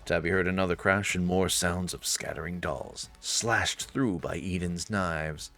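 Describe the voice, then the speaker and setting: A man's voice: deep voice, measured pace, bright, forceful tone. A man narrating a visual novel in a storytelling style. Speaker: narrator and let's-play reader. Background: faint music.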